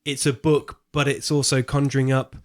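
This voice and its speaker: A man's voice: medium pitch, flat delivery, normal speed. A man co-hosting a film podcast, talking in an informal, slangy, conversational way. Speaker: podcast co-host. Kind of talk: casual film podcast chat.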